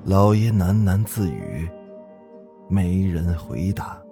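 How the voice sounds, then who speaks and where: A man's voice: low-pitched, animated, slow pace, creaky. A man narrating a scripted horror story in a dramatic storytelling style. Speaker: storyteller, podcast host. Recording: music behind the voice. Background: background music.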